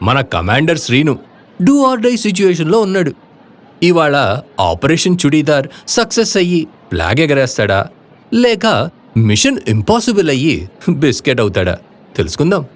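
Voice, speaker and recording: Very animated comedy narrator. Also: male voice, fairly high pitch, moderate pace, pauses often. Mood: mock suspense. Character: playful mock-suspense male narrator. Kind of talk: dramatic, tongue-in-cheek scripted narration.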